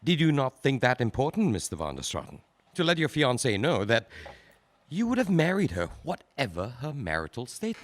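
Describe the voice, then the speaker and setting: A man acting a prosecutor in a 1940s court, questioning in a measured, formal way. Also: medium pitch, wildly swooping pitch, a little hiss or hum. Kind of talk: radio drama acting.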